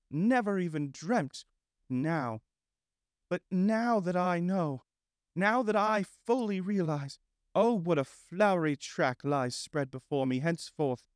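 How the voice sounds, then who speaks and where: Man doing a dreamy, rapturous toad gentleman. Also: fairly high pitch, clear, resonant tone, no echo, studio quality, pitched above the performer's own voice, close to an everyday voice. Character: vain excitable male toad gentleman.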